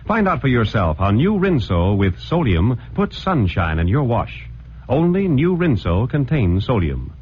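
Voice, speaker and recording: A male announcer reading a vintage radio commercial with an upbeat, emphatic sales pitch. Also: very animated, unhurried, slight background noise. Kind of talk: read vintage radio advertisement. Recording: dull old radio broadcast.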